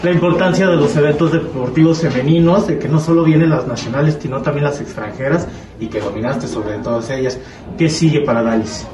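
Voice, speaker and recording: A man's voice: a little high, brisk, a crowd in the background. A man working as a reporter, asking spontaneous questions at a post-match interview. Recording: echoey room, distant mic.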